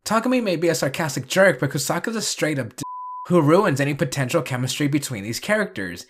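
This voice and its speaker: A man's voice: on the high side, big pitch swings, fast, a little hiss or hum. Man narrating with dry sarcasm. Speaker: video essay narrator. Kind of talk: scripted review and recap narration.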